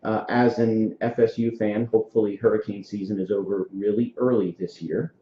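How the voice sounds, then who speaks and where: Low-voiced Florida man hosting a podcast. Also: steady tone, moderate pace, dark tone. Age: 40s. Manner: friendly, storytelling, frequent fillers. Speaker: podcast host. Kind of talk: casual interview chat. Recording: echoey room, dull lo-fi sound.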